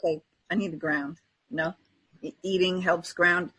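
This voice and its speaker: A woman with a low-clarity, distinctly husky voice speaks as a podcast co-host. Her delivery is casual and earnest, like an unscripted chat, and she often checks that her listener agrees. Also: steady tone, normal speed, a bit bright, low-quality recording.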